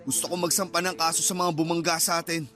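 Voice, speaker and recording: A man's voice: on the high side, little pitch movement, fast talker, sharp tone, soft background music. An actor playing an anxious, angry father and husband in an emotional Filipino radio drama. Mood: angry, insistent.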